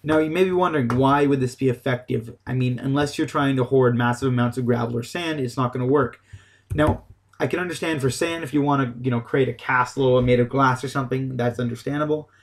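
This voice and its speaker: A man's voice: medium pitch, steady tone, a little fast. A man giving a casual, unscripted game tutorial, explaining things in a loose, hesitant way. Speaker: gaming tutorial host.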